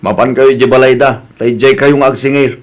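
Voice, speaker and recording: A man's voice: mid-range voice, steady tone, moderate pace, lightly acted. A man with an Ilocano accent playing an exasperated village husband who admonishes others in an amateur audio drama. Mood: chiding. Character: chiding village husband urging humility and repayment.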